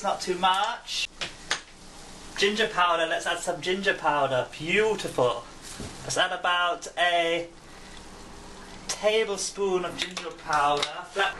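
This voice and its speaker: A man giving a comic cooking demonstration with a deadpan, mock-pompous manner. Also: sits high, measured pace, pauses often, background noise. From England. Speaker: home cook. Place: home kitchen. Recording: distant mic, loud kitchen sizzle. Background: frying food sizzling.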